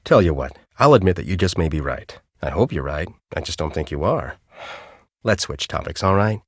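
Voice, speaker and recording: A man's voice: very deep voice, lively intonation, moderate pace, breathy edge, no room sound, clean studio sound, heavily acted. An actor playing a bitter, arrogant middle-aged male scientist in a video game, with a sardonic, condescending, theatrical way of talking. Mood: weary, cynical. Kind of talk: video game character acting.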